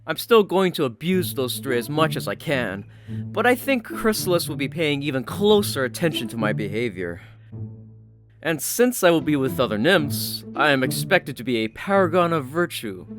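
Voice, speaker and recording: A man narrates a fantasy story in a slightly high register. His delivery is scripted storytelling, and music plays clearly underneath his voice throughout. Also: big pitch swings, moderate pace. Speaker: audiobook-style story narrator. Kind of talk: scripted fan fiction narration. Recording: music playing behind the voice.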